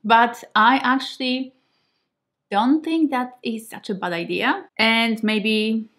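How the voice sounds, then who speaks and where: A Polish-born woman in her thirties, a native Polish speaker, chatting to the camera at home. She talks in a relaxed, reflective way and laughs easily. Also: fairly high pitch, slow. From Poland. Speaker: vlogger. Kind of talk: casual talk to camera. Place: home kitchen and living room, indoors.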